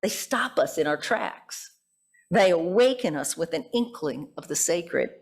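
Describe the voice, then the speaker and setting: A middle-aged or older woman, a pastor, preaching reflectively in measured phrases with deliberate pauses. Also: lowish voice, lively intonation, slightly rough. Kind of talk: sermon with poetry quotations.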